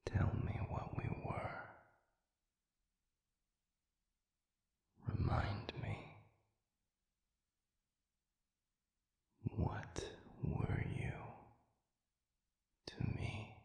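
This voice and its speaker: A man playing an anguished demon who has lost his memory, in an intimate audio roleplay, speaking in halting, gravelly half-whispers with formal wording. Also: darkish tone, reverberant, close to an everyday voice. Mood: searching, demanding. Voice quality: gravelly, breathy.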